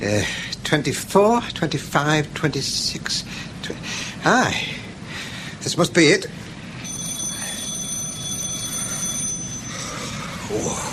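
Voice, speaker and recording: A grumbling, stubborn Northern Englishman in a radio play. He is blunt and muttering, with a mid-pitched, animated delivery over clearly audible ambient noise. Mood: impatient muttering. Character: gruff, grumbling northern man, stubborn visitor. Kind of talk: British radio drama acting.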